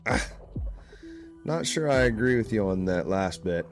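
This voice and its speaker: A man's voice: lowish voice, animated, unhurried. A man playing an anxious, claustrophobic small-town deputy in an audio drama, plainspoken and grumbling. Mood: skeptical, grumbling. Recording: music under the voice.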